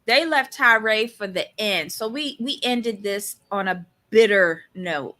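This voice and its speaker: A woman's voice: mid-range voice, slow, pushed and bright. A woman with a Barbadian accent, co-hosting a casual recap show and giving blunt, opinionated takes. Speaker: podcast co-host.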